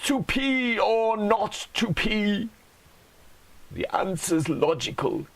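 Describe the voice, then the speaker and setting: A man's voice: high-pitched, lively intonation, slow pace, a bit bright. A man reading comic poetry theatrically, with a mock-grave, declamatory manner. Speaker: poet reading own poems.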